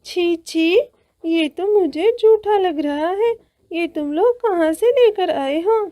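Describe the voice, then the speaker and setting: A woman voicing a haughty, demanding young bride bird in a cartoon, shrill and indignant. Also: slow pace, warm tone, dry recording, clean studio sound. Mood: disgusted.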